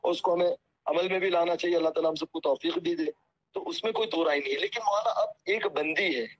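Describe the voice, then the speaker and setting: Male cleric, slightly high voice, humble and apologetic, devout phrasing, earnest phone talk on a dull lo-fi recording. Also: quick pace. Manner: deferential, apologetic; pious, peppered with religious phrases. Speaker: Islamic cleric. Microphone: phone call. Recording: muffled lo-fi phone call recording.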